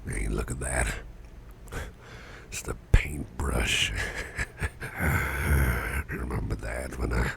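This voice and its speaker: A man playing a sickly, drowsy, kindly boss in an ASMR roleplay, murmuring breathily and haltingly in a gravelly voice, often repeating himself. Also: extremely low pitch, steady tone, fairly bright tone, a little hiss or hum, heavily acted. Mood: groggy, musing. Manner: slow, rambling, hesitant, repeating himself. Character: sickly, drowsy, sweet-tempered boss confiding in his employee.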